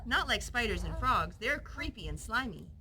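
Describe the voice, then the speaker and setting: A woman voicing a playful, sensitive young girl in a children's storybook, with expressive childlike reactions. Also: fairly high pitch, normal speed, noisy background. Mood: excited.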